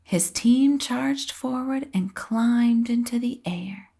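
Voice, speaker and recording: A woman reading a children's story aloud with expressive, drawn-out phrasing and a breathy edge at the ends of phrases. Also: fairly high pitch. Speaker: storybook reader.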